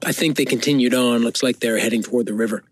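An actor playing a terse, bossy soldier who guides survivors in an audio drama. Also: male voice, medium pitch, quick pace, no room sound, studio quality, lightly acted. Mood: grim. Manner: clipped, bossy orders. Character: terse, commanding male soldier guiding survivors.

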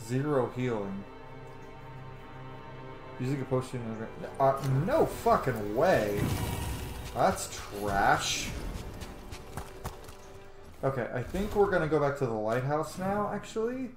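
A man in his thirties chats casually over a video game, playful and quick to swear. His mid-pitched voice is roomy, with game music behind it. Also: big pitch swings. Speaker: game streamer. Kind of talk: casual let's play commentary. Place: small indoor room. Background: video game music and effects.

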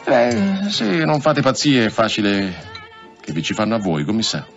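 An actor in an Italian radio drama playing a pragmatic, cautious police subordinate who confides gossip in a colloquial way. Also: male voice, mid-range voice, huge pitch swings, normal speed, creaky, muffled recording, faint music behind. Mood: wry, cautioning.

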